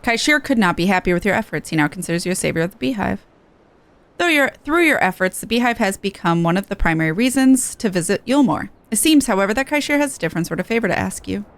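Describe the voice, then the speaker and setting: A woman's voice: mid-range voice, expressive, fast talker. A woman streamer commenting on a game playthrough and reading its on-screen text aloud.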